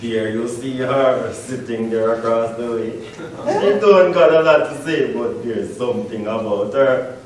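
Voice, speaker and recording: A man's voice: medium pitch, unhurried, a little mellow. A man reciting scripted lines as a readers theater performer. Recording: echoey hall, distant mic, faint noise.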